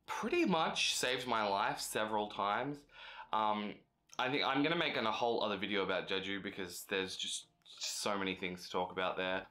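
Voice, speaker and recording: A man's voice: lowish voice, pushed and bright. A young man, a native English speaker, giving casual, chatty advice in a vlog with frequent fillers. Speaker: vlogger.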